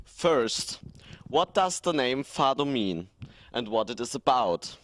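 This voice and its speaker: A man's voice: medium pitch, very animated, slow, fairly bright tone, slight background noise. A young man from Germany asking prepared questions in an outdoor student interview, reading plainly. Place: outdoors in front of a museum.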